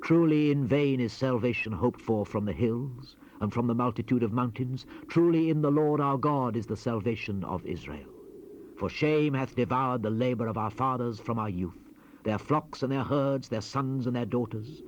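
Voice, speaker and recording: A man's voice: mid-range voice, normal speed, natural voice. A man playing a solemn Old Testament prophet who declaims archaic scripture in a dramatized Bible recording. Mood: grave lament. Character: solemn male Old Testament prophet speaking God's reproaches. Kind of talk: dramatized King James scripture reading. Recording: muffled, hissy 1970s cassette rip. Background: ambient sound effects with birdsong.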